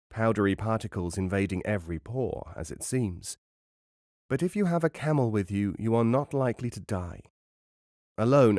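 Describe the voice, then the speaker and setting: A man reading a travel memoir as an audiobook narrator, in a measured, wry and formal literary manner. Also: fairly low pitch, a little mellow, no room sound, clean studio sound.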